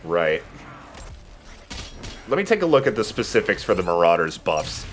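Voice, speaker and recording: Male let's play host, casual, on the low side with lively intonation. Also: moderate pace, a little hiss or hum. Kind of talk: casual gameplay commentary. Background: video game music.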